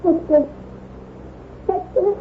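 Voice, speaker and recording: An actress playing a frail, guilt-ridden wife in an old-time radio melodrama, speaking with solemn, mournful drama. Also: female voice, takes it slowly, close to an everyday voice. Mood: weak, remorseful. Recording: tinny, noisy vintage radio recording.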